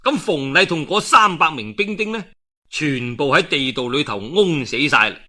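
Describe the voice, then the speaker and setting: Animated bright-voiced Cantonese male storyteller. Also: a little high, normal speed. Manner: dramatic, animated delivery with conversational particles and asides. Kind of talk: traditional Chinese storytelling of a historical novel, colloquial narration.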